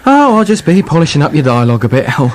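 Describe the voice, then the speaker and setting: An actor playing a breezy, scheming writer in a radio play, with a chatty, slyly reassuring manner and storybook turns. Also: male voice, a little high, very animated, fast talker. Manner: chatty, falsely reassuring, sly. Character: breezy, scheming male writer who narrates the tale. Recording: clear background noise.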